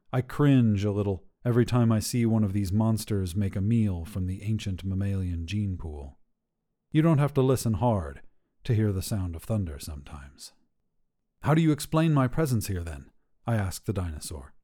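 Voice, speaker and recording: A man's voice: fairly low pitch, warm tone. A man narrating scripted first-person short fiction for a podcast.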